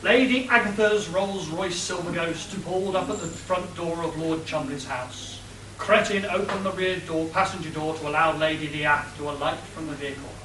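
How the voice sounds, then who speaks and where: Guy narrating a comedy radio play, high voice, noisy echoey room. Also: steady tone, moderate pace, distant mic.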